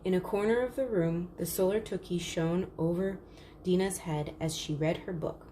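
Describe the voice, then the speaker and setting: Lower-voiced woman reading a storybook, noisy recording. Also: moderate pace, mellow. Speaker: storybook reader. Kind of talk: scripted children's story read-aloud. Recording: clearly noisy background.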